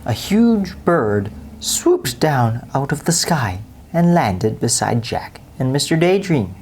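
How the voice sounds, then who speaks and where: A woman's voice: rumbling low, sing-song, takes it slowly, darkish tone, a little hiss or hum. A woman reading a children's bedtime story aloud as storyteller.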